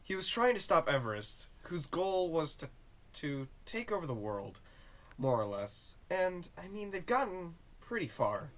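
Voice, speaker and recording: Guarded, secretive man with swooping pitch, acted. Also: sing-song, a little slow, a bit bright. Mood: resigned. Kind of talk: audio drama dialogue.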